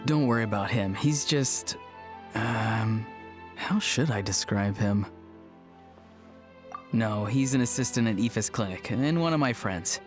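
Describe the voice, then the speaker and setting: A man playing an easygoing young villager who tells stories in a relaxed, dryly amused way. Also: on the low side, measured pace, long pauses, over music, natural voice.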